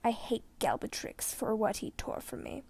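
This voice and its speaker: A woman voicing a frail, wise old man on his deathbed, speaking weakly and breathily with halting phrases. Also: a little high, big pitch swings, a little mellow, no room sound, full character voice. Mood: weary grief. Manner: weak, breathy, halting speech. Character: frail dying old man, wise, grieving former warrior.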